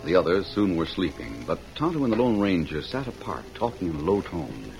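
A man's voice: low voice, very animated, normal speed, creaky, rough recording. A man narrating an old-time radio western in a polished, theatrical storytelling style. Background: music.

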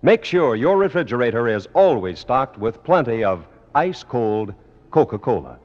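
A man's voice: mid-range voice, very animated, moderate pace. A man working as a radio announcer, reading scripted copy in a 1950s broadcast style. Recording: dull old radio broadcast recording.

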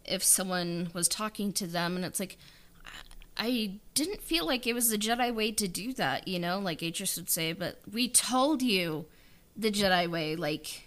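A woman's voice: mid-range voice, lively intonation, a little slow, fairly bright tone. A woman in a casual interview chat about creative work, talking hesitantly and rambling with lots of filler words. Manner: hesitant and rambling, leans heavily on "like" and "you know". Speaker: writer and director of a fan audio drama being interviewed. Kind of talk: casual behind-the-scenes chat.

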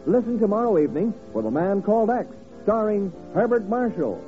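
A man announces in vintage radio style. His pitch is high and swooping, on a tinny broadcast recording. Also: sing-song, brisk. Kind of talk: 1950s radio sign-off announcing. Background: faint music.

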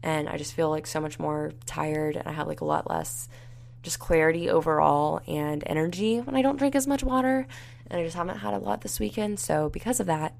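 A young woman hosting a solo podcast, talking casually with lots of fillers, her voice raspy and tired. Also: sits low, brisk, darkish tone. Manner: rambling, many fillers like "like" and "you know". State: tired and dehydrated. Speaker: podcast host.

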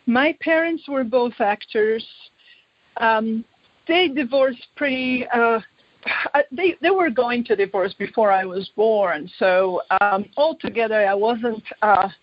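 A woman tells her life story as an interview guest, reflective and halting as she searches for words. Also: a little high. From Poland. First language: Polish. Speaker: interview guest, artist and author. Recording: muffled, echoey room recording.

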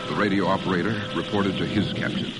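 An actor serving as grave narrator of a wartime adventure in an old radio drama. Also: male voice, low-pitched, fairly even intonation, fast talker, lightly acted, poor audio. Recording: old radio broadcast with loud music. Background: loud music.

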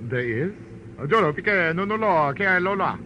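Old-time radio villain: suave schemer, clipped menace, lively intonation, noisy vintage broadcast. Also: male voice, sits high, a little fast, highs cut off. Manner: clipped, formally polite, menacing. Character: suave, scheming Chinese villain in gray gabardine. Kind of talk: 1940s radio acting.

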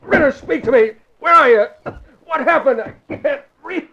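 An actor playing a jumpy, suspicious criminal in an edgy, blunt 1940s radio drama style. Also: male voice, very high voice, expressive, moderate pace, sharp tone, heavily acted. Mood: alarmed. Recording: dull vintage 1940s radio recording.

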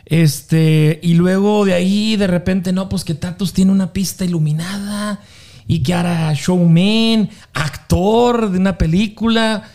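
A man hosting a podcast, chatting casually and warmly with slang and many fillers. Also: high voice, steady tone, measured pace. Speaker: podcast host. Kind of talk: casual interview chat.

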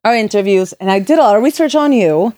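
A woman co-hosting an interview, lively and quick to slip Spanish words into her English. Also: medium pitch, no room sound. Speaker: co-host. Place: recording studio.

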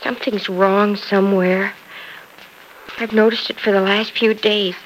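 A woman's voice: medium pitch, flat delivery, unhurried, slight background noise, lightly acted, rough recording. An actress playing an anxious young wife in a 1950s radio soap, earnest and pleading with her husband, close to tears. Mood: tearful.

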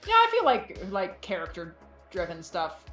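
Animated-talking woman in an echoey room. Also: lowish voice, unhurried, soft background music. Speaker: podcast guest, fiction writer. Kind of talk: casual joking podcast chat. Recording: roomy echo on the voice.

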